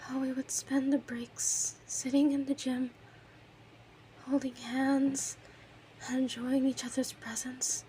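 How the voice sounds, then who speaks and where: A woman's voice: high-pitched, little pitch movement, slow pace, warm tone, slight background noise, low-quality recording. A woman playing an obsessive, lovesick kidnapper in her thirties in an intimate, emotional audio roleplay monologue. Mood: tender, wistful. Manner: clingy, emotional, swings between breathy whispers and outbursts.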